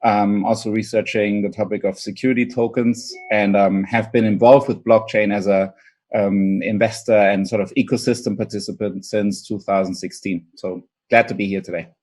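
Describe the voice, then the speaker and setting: Low-voiced man with German accent. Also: little pitch movement, darkish tone. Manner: measured, with um fillers. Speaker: panel guest, lecturer and investor. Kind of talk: online panel discussion.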